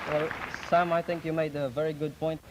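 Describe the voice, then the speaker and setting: A teenage male youth delegate, speaking in a measured, explanatory way in a formal discussion, on a 1950s archival recording. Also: a little high, flat delivery, over a noisy crowd, heavy background noise. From Philippines. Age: teens. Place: public speaking event.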